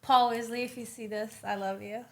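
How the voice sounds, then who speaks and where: A woman's voice: a little high, steady tone, fairly quick, slight background noise. A woman, a native Farsi speaker, chatting as a podcast guest, enthusiastic and giggly. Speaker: actress and filmmaker, guest. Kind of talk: casual chat.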